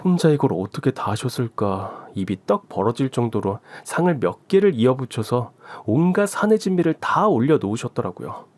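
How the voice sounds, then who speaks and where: A man's voice: mid-range voice, big pitch swings, normal speed, few pauses, darkish tone, no room sound. A man with a mid-range voice narrates a scripted first-person story. His intonation moves widely, and the recording is dry and close.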